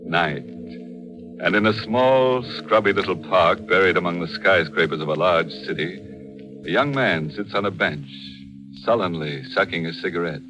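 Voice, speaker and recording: An actor playing a gleefully macabre, mock-friendly horror host on a 1940s radio show, droll and drawn-out. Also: male voice, low-pitched, poor audio. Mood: brooding narration. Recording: old radio recording with loud music. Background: loud music.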